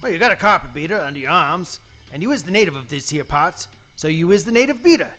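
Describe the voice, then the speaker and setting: A man playing a blustering, boastful alligator in a live comic radio play, with a gravelly voice and folksy Southern swamp dialect. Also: a little high, big pitch swings, quick pace, a bit bright. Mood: blustery, bossy. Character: blustering, boastful adult male cartoon alligator playing sheriff.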